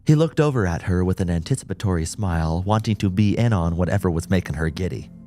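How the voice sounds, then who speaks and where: A man's voice: low-pitched, animated, fast, mellow. A man reading a scripted story as an audiobook narrator.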